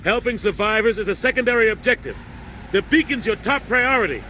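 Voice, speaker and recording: A man's voice: high voice, fairly quick, bright, forceful tone. A man acting a stern, authoritative starship captain in a video game, giving clipped orders over vehicle noise. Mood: firm, urgent. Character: stern commanding older military starship captain.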